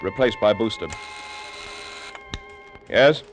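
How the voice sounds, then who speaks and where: An actor playing a commanding American rocket ship captain, clipped and formal, in 1940s radio drama style. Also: male voice, on the low side, lively intonation, takes it slowly, soft background music. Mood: brisk. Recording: dull vintage radio recording.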